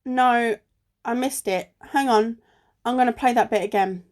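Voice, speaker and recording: A fairly high-voiced, level-headed female sleuth from an amateur radio play, acted by a woman. She is clever and quick to stand her ground, and speaks in a measured, deliberate way. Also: natural voice. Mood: focused. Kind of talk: amateur radio play acting, often reading articles aloud. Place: recorded alone at home.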